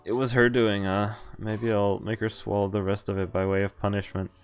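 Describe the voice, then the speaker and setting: A man streaming a visual novel, reading its lines aloud and breaking off to react and explain, with animated exclamations and groans. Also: fairly low pitch, normal speed, faint music behind.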